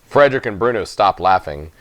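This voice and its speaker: A man with a fairly low voice narrates a children's story in the first person. His reading is very animated in pitch, and the recording is dry and close. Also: brisk, no room sound. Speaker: audiobook reader.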